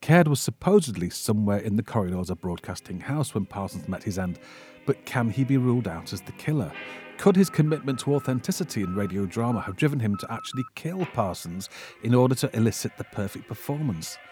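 A man reading a scripted narration that recaps an old mystery film, with a husky voice. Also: on the low side, big pitch swings, a little fast, warm tone, soft background music. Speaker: narrator presenting a film summary.

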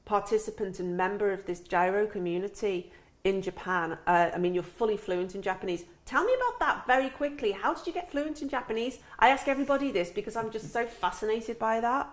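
A woman's voice: medium pitch, fairly quick, few pauses. A middle-aged woman hosting a casual interview in a chatty, rambling, jokey way. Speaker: interviewer, podcast host.

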